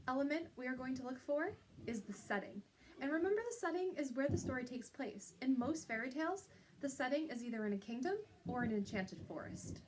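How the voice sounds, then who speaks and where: A woman's voice: medium pitch, slight background noise, low-quality recording. A woman teacher giving an instructional lesson to young pupils, explaining step by step and checking in with little tag questions.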